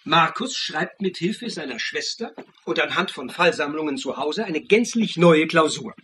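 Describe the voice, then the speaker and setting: An actor playing an insistent, methodical accuser who lays out a murder theory in pointed phrases in a German radio play. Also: male voice, on the high side, animated, normal speed, pushed and bright, a little hiss or hum.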